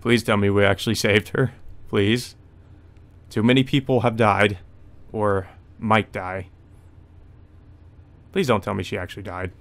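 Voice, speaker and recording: A man's voice: on the low side, fairly even intonation, unhurried, pauses often. A man hosting a let's play, reading visual novel narration aloud and adding his own off-the-cuff reactions.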